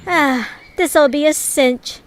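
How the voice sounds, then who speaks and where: A woman's voice: high voice, slow pace, a little hiss or hum, poor audio. A woman playing a bossy, self-assured young female leader in an audio drama, commanding and boastful.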